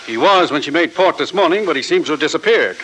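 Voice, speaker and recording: Bright, high-voiced male official. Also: animated, fast, a little hiss or hum, low-quality recording. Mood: apologetic, helpful. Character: polite, helpful port authority official. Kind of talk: 1940s radio acting.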